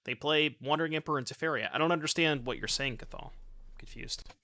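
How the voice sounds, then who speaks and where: A man's voice: on the high side, quick pace, bright, forceful tone. A man in his thirties streaming a card game from his home setup, chatting and joking with his chat while he plays, using a desk mic. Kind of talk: casual gameplay commentary reading chat. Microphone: desk mic with webcam.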